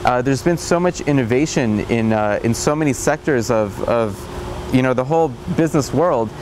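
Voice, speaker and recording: A man's voice: mid-range voice, moderate pace, over music. Young man explaining his farm. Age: young adult. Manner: earnest, frequent fillers and restarts. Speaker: farm company founder. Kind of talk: interview. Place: outdoors in a greenhouse. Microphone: lapel.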